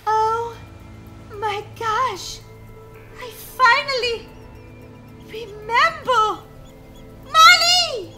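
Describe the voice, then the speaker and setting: A performer voicing an excitable, earnest young mermaid princess with melodramatic, exclamatory delivery in a children's story. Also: very high-pitched, measured pace, heavily acted. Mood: frantic, excited. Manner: melodramatic, exclamatory, stammering when upset. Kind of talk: dramatised children's story acting. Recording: music behind the voice.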